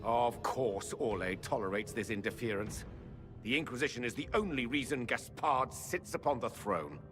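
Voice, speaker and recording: A man voices a prickly envoy whose pitch swings widely as he presses his grievances, each line a pointed charge. Game music plays clearly behind him. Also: animated, normal speed, fairly bright tone, close to an everyday voice. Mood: accusing. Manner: accusatory, pointed. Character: indignant middle-aged male envoy, accusing. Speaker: diplomat.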